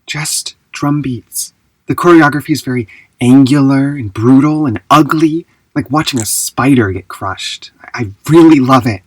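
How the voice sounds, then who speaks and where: An actor playing an intense, proud, self-assured dancer with a husky voice in a fiction podcast. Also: mid-range voice, normal speed, dry recording, close to an everyday voice, low-quality recording. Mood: absorbed. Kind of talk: naturalistic fiction podcast acting.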